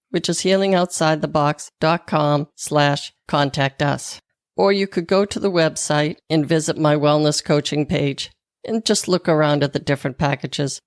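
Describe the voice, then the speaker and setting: A woman's voice: sits low, no echo, studio quality. A woman with a Boston accent hosting a podcast solo, reading scripted narration. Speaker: podcast host and health coach.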